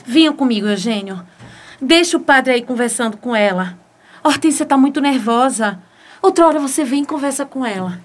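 A woman's voice: high voice, lively intonation, normal speed. A woman playing a caring, conciliatory friend in a radio soap opera. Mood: soothing.

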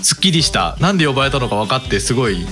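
A man's voice: medium pitch, very animated, very fast, music in the background. A man in his thirties co-hosting a casual radio chat as a reviewer. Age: 30s. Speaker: podcast co-host, music reviewer.

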